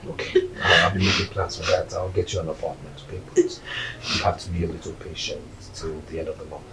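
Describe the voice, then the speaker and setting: A man playing a low-voiced, patient husband. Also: flat delivery, fairly quick, vocal fry, noisy background. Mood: reassuring. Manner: soothing, hesitant reassurance. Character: exasperated husband caught between wife and maid. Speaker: actor in a domestic skit.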